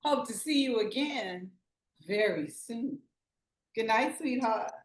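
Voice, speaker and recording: In a roomy space, a woman acts out a flirty, affectionate Southern auntie at a drive-through window. Her voice sits fairly high, and she serves customers with sugary hospitality, as in a live screenplay reading. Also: expressive, takes it slowly. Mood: sweet, hospitable. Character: warm flirty Southern auntie donut shop attendant. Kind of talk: live screenplay table read.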